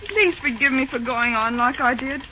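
A woman's voice: sits high, normal speed, sharp tone. A woman playing an earnest, outspoken railroad office worker in an early radio drama, speaking with emphatic feeling. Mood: sympathetic, upset. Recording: muffled, noisy old-time radio recording.

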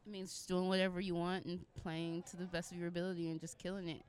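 A woman's voice: on the low side, level tone, quick pace, clean tone. An American woman from Los Angeles answering interview questions as a musician in a concise, easygoing way. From Los Angeles, United States. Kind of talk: casual interview chat.

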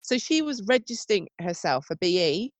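A woman's voice: medium pitch, very animated, normal speed, no echo. A woman with a wheezy, chesty voice and a cough, chatting on a podcast. She tells her story casually and chattily, with a dry, close sound. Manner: chatty storytelling with frequent "oh my God" and laughs. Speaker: podcast co-host. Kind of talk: casual chat.

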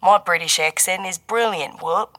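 A woman's voice: deep voice, moderate pace, vocal fry, a bit bright, full character voice. A woman voicing a male intelligence handler on the phone in an audiobook, mild and wry with a teasing streak. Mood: mock indignant. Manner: mild, teasing, turning serious. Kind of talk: dramatic audiobook character voice.

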